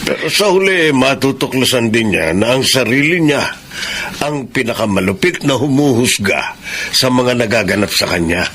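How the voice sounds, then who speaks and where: Firm, fatherly male warden played by a man, Filipino accent, measured formal radio drama delivery, medium pitch, light background noise. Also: expressive, fairly bright tone, natural voice. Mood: grave, reassuring. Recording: noisy radio drama broadcast.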